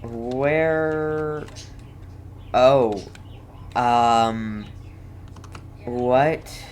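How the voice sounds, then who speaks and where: Female game streamer, extremely low register, casual and slow, thinks out loud. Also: fairly even intonation, slight background noise. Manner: thinking aloud, repeating words. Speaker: gaming video host. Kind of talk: casual playthrough commentary.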